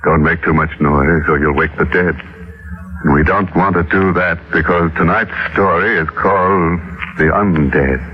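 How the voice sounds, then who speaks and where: Deep, droll vintage radio horror host, man. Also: a little slow. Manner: mock-sinister, droll, punning. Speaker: radio mystery show host. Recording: muffled old radio recording, loud music.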